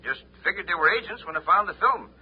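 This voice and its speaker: An actor playing a folksy, friendly homesteader with a gravelly, husky voice in a 1950s radio serial. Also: male voice, high voice, expressive, fast, heavily acted. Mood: respectful, helpful. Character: folksy, friendly rustic Martian homesteader, lonely and helpful. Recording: muffled, hissy 1950s radio recording.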